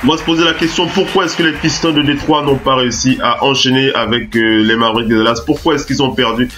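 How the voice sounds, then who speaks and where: A man's voice: mid-range voice, fairly even intonation, fast talker, sharp tone, faint music behind. A man with a French accent hosting a basketball radio talk show from a studio while he has the flu, hesitant with many fillers and restarts, then bursts into hyped announcing. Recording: web radio broadcast.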